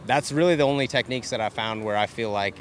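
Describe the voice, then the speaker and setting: A male professional angler answering interview questions outdoors in a measured, explanatory way. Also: fairly low pitch. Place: outdoors by boats. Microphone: handheld mic held by interviewer. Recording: faint outdoor noise.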